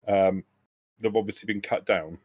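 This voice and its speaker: A man acting as game master, improvising descriptions of scenes for a tabletop roleplaying game in a halting way. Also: fairly low pitch, fairly even intonation, darkish tone, dry recording, clean studio sound.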